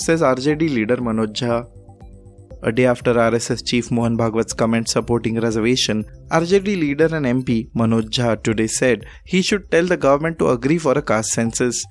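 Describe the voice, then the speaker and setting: A man with an Indian accent reading scripted news as a presenter in a formal, measured manner. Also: medium pitch.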